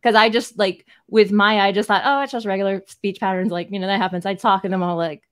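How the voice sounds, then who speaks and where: A woman's voice: medium pitch, steady tone, fast talker. Rambling woman co-host, "like" and "you know". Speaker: co-host, podcaster. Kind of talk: casual interview chat. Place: home room. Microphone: desk mic on a video call.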